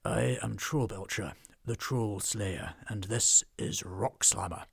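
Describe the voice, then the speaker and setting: A man voicing a fierce, burly male dwarf troll slayer who speaks slowly and menacingly. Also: on the low side, no room sound, clean studio sound, full character voice. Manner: gruff, slow and menacing.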